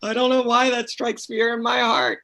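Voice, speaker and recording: A woman chatting casually on a panel, candid and amused. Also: fairly high pitch.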